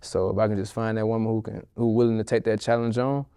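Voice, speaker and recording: A man's voice: fairly low pitch, level tone, fast, dark tone, smooth, dry recording, studio quality. A man from Baton Rouge, an interview guest speaking in an easygoing way, full of slang. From Baton Rouge, Louisiana. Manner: easygoing, talks in slang and metaphors. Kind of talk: casual interview talk.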